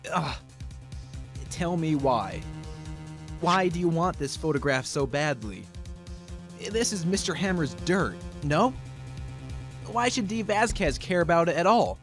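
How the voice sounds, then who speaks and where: A woman's voice: fairly low pitch, animated, a little slow, pauses often, over music. A woman voicing an earnest young male defense lawyer, hesitant and incredulous, in an amateur game fandub. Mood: alarmed, demanding.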